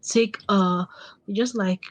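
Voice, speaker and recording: Soft-spoken Nigerian-born woman. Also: medium pitch, fairly even intonation, slow pace, no room sound. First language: Igbo. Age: late 30s. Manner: soft-spoken, laughs often, hesitant with many ums. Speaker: guest. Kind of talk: casual interview.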